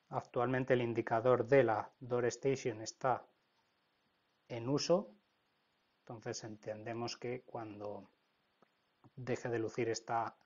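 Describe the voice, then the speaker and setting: A man with a Spanish accent from Spain walks through a software procedure step by step, often checking in with "¿vale?". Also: mid-range voice, dull audio. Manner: explanatory, frequent "¿vale?" check-ins. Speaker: product trainer. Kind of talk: screen-recorded software tutorial.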